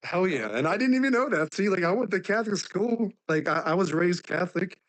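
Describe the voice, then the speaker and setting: A man in his thirties speaks in a somewhat high voice that is raspy from laryngitis. He talks earnestly, sprinkling "like" through every sentence. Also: expressive, brisk, rough recording. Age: 30s. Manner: says "like" constantly, earnest. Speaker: speaker on a live stream. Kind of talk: casual heartfelt talk.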